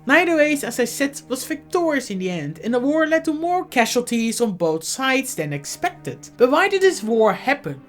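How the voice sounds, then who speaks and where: A woman with a cold narrating a scripted explainer as a video host. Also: high-pitched. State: has a cold.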